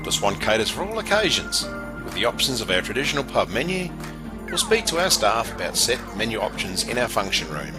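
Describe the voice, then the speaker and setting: Low-voiced man reading a pub ad. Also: very animated, fairly quick. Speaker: advertisement voice-over. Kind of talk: scripted ad read. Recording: voice-over over loud music bed.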